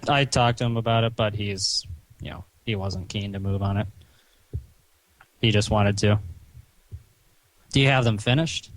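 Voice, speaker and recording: A man talking on a recorded phone call in a stern, chiding, halting way, padding his sentences with "you know". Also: fairly low pitch. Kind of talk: phone conversation, reproachful lecturing.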